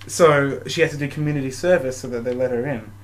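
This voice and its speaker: A man's voice: mid-range voice, fast, slight background noise. Jokey, rambling man bantering over gameplay. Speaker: gaming video co-commentator. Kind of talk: casual bantering game commentary.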